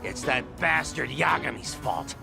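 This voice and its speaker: An actor playing a hot-tempered, aggrieved ex-producer in a video game, whining and ranting indignantly. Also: male voice, mid-range voice, lively intonation, measured pace, creaky. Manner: whiny, indignant, foul-mouthed ranting. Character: hot-tempered, aggrieved fired-producer man working as a waiter. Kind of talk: dramatic video game voice acting. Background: music.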